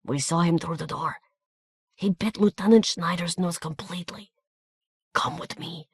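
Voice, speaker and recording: A man voicing a gaunt, nervous little man with a Hungarian accent who talks in hushed, urgent whispers. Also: on the high side, animated, moderate pace, vocal fry, breathy edge, dry recording, studio quality, heavily acted. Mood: anxious whisper.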